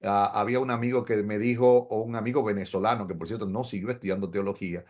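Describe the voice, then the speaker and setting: A man's voice: fairly low pitch, fairly even intonation, fast, a little mellow. A middle-aged man, a pastor, telling his story in an interview in an earnest, reflective way. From Dominican Republic. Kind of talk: interview testimony.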